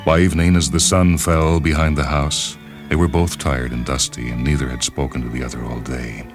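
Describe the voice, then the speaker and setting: An extremely deep-voiced man reads literary fiction as a radio narrator. His delivery is measured and solemn, with orchestral music clearly playing behind him. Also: little pitch movement.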